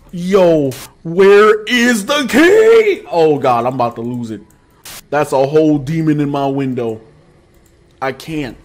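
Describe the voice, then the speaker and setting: A young man streaming a horror game live, talking nervously to his chat with sudden yelps and laughs. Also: sits high. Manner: jumpy, nervous, bursts of loud yelps and laughter. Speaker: gaming streamer. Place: small room with posters behind.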